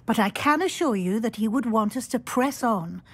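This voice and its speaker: An actress with a British accent playing a kindly, shrewd senior witch professor in a video game, measured, authoritative and encouraging. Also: on the high side, lively intonation, breathy edge, dry recording. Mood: solemn, reassuring. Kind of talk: dramatic video game voice acting.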